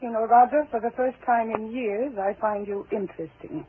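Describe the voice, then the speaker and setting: An actress playing a cold, nervy, scheming wife with a biting tongue in a 1940s radio thriller. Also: female voice, on the high side, steady tone, moderate pace, a little hiss or hum, natural voice. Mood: cool, sardonic. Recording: tinny, muffled 1940s radio recording.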